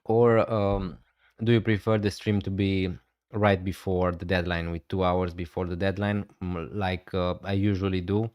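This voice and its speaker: Relaxed man streaming live. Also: low voice, normal speed, smooth, no echo. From Romania. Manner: relaxed, hesitant, many fillers. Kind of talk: casual live stream chat.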